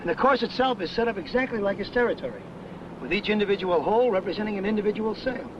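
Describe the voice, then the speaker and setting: Affable, earnest salesman: high-pitched, eager to explain, on a muffled seventies industrial film track. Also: expressive, racing. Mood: earnest, explanatory. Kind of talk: 1970s sales training film dialogue. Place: mostly outdoors on a golf course. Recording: muffled, very noisy 1970s 16mm film sound.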